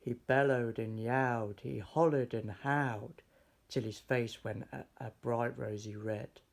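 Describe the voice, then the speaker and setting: A man reading a rhyming children's story aloud in an expressive, theatrical, measured way. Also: medium pitch, vocal fry. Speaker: storybook reader.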